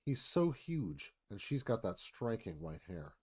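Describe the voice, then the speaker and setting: A man's voice: fairly low pitch, sing-song, a little slow, darkish tone, breathy edge. A man narrating a screenplay, reading out scene headings and stage directions.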